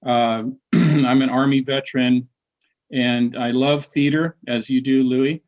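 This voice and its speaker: A middle-aged man, a history professor and playwright, talking casually on a video call, halting with many restarts and fillers. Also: medium pitch, steady tone. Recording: dull lo-fi call audio.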